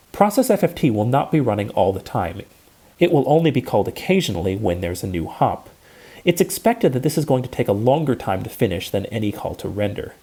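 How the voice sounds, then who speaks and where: A man giving a scripted technical lecture, explaining in a measured and precise way. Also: on the low side, mellow, breathy edge.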